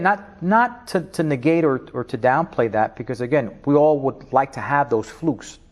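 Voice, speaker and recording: Laughing New York man, animated. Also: on the high side. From New York, Spanish Harlem. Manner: animated storyteller, laughs often, frequent restarts. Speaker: interview guest, business leader. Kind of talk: casual interview talk.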